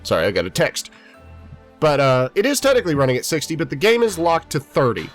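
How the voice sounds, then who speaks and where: A man's voice: a little high, huge pitch swings, fast talker, soft background music. A man in his twenties, stuffy from a head cold, giving rambling, chatty let's-play commentary. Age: 20s. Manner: rambling, chatty, self-deprecating. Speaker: gaming YouTuber.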